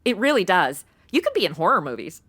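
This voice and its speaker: A woman's voice: on the low side, very animated, fast talker, a bit bright, breathy edge, heavily acted. A woman playing a flighty, slangy young modern American in an audio comedy, blunt and easily rattled. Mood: urgent, blunt. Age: young adult. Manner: casual valley-girl phrasing, exasperated and blunt. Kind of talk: comedy audio drama acting.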